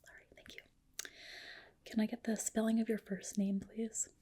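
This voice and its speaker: A woman whispering softly in an ASMR roleplay as a clinic doctor, gentle, polite and reassuring, with a breathy delivery. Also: a little high, flat delivery, moderate pace. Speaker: ASMR host roleplaying a dermatologist. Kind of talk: soft whispered ASMR personal-attention roleplay.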